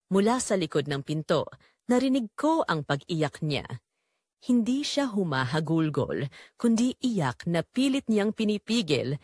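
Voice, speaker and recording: Woman narrating with animated, swinging pitch. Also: no room sound, clean studio sound. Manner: emotive, sorrowful storytelling. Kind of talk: dramatic first-person scripted audio drama narration.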